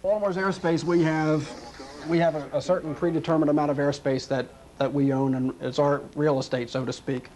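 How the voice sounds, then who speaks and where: American air traffic controller, slightly high male voice. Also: little pitch movement, fairly quick, a little mellow, poor audio. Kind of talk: explanatory interview answers.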